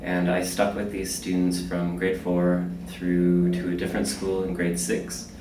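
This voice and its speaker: A man's voice: deep voice, completely flat delivery, unhurried, roomy sound, distant mic, noisy background. A man reflecting on his school years in a casual interview, speaking hesitantly. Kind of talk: casual recollection.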